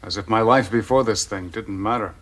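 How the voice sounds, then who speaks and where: Male TV-drama scientist, slightly low voice, brooding and conversational. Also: fairly quick, a bit bright. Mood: resentful. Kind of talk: television drama acting.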